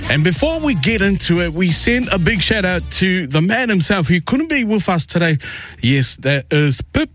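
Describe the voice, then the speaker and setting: Male radio host, fairly high voice, loose and rambling, greets guests warmly. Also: sing-song, normal speed, no room sound, faint music behind. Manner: rambling, repeats words and restarts often. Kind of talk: warm casual interview with Pacific greetings.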